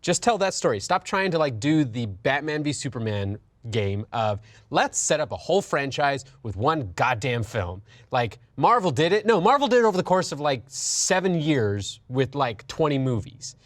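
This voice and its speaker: A man's voice: mid-range voice, big pitch swings, normal speed, no echo. Man in an emphatic casual discussion, dry sound. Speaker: talk show panelist.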